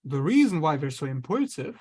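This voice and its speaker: A man, an astrologer guest, explaining ideas in a relaxed conversational way, sometimes acting out inner voices. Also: fairly high pitch, fairly quick. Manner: explains with acted-out inner voices and light laughs.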